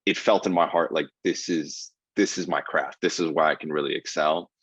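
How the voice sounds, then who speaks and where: A man's voice: a bass voice, fairly even intonation, normal speed, breathy edge, dry recording, dull audio. A man in his twenties from Ohio, a guest comedian telling his life story in a casual podcast interview, earnest and full of fillers. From Ohio, USA. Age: 20s.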